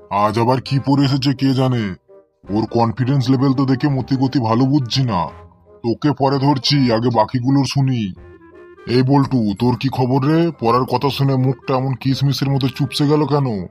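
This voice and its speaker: A man's voice: medium pitch, steady tone, normal speed, a little mellow, close to an everyday voice. Male actor as strict Bengali teacher, exasperated, sarcastic scolding, comic cartoon, music behind. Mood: scolding, suspicious. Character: exasperated, threatening male village schoolteacher scolding his pupils.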